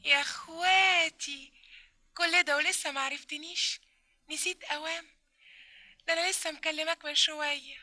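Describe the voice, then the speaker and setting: Coy, high-pitched Egyptian flirt. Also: female voice, fairly even intonation, moderate pace, long pauses, pushed and bright. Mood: coy, apologetic. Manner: drawling, exaggerated coyness. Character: coy, teasing, flirtatious woman on a late-night phone call. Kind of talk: old Egyptian radio comedy acting.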